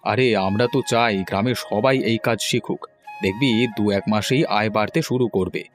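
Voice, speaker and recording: A man's voice: lowish voice, a little fast, faint music behind, close to an everyday voice. A man voicing a cautious cartoon village fish farmer who speaks colloquially and a little hesitantly. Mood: agreeable. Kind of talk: cartoon dialogue.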